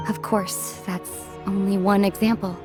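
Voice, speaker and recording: Actress as solemn young woman, video game heroine, soft, slow, pensive, gentle yet resolute, music behind. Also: medium pitch, level tone, natural voice. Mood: sorrowful, restrained. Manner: soft, measured, pensive, with sighs and pauses. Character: solemn young woman, weary centuries-old wanderer, gentle but resolute. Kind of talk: video game cutscene voice acting. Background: background music.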